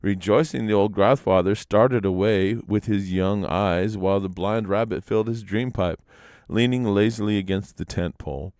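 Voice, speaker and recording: A man's voice: low-pitched, a little mellow, dry recording, studio quality. A man narrating a traditional legend aloud as a storyteller, in a live reading. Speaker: storyteller and reader.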